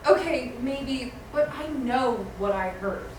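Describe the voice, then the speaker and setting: A woman's voice: high-pitched, animated, slow pace. A woman playing a jumpy, suspicious young student in a screenplay table read. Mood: insistent. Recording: distant, echoey room with background noise.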